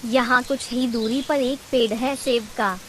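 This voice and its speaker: A greedy old mother-in-law bird from a cartoon, acted by a woman, with a very high voice. She nags and taunts in slow, dramatic, drawn-out phrases, over faint background noise. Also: steady tone, lightly acted. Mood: scheming, coaxing. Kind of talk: Hindi cartoon character acting.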